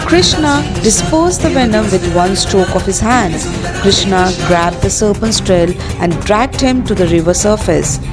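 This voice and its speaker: A woman narrating a dramatized story for a student production in a scripted storytelling style. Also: medium pitch. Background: music.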